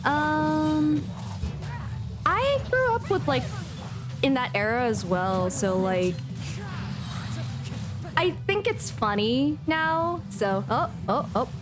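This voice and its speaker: High-pitched, animated woman game streamer. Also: slow pace, long pauses. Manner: playful, expressive, mock-scolding. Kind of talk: casual livestream game commentary. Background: game music.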